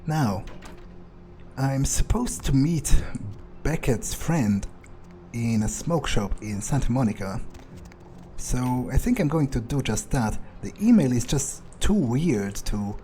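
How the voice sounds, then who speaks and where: A man's voice: mid-range voice, animated, slow, dark tone, a little hiss or hum. A man doing in-character game commentary, thinking aloud in a theatrical, self-assured, musing way. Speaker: gamer playing in character.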